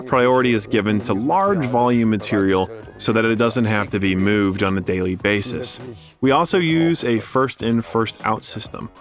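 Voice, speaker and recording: A man reading scripted corporate testimonial narration in a measured, formal manner. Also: mid-range voice. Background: background music.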